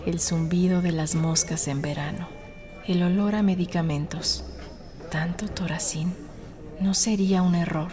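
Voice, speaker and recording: A woman reading a novel as an audiobook narrator, in a measured, suspenseful storytelling manner. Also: lowish voice, fairly even intonation. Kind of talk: scripted dramatic narration of a novel. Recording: studio narration over a music bed. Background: background music.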